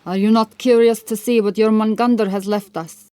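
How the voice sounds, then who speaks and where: A woman's voice: mid-range voice, fairly even intonation, moderate pace, warm tone, clear, resonant tone, no echo, lightly acted. Woman playing a bold Viking warrior woman. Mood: eager. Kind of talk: audiobook character acting.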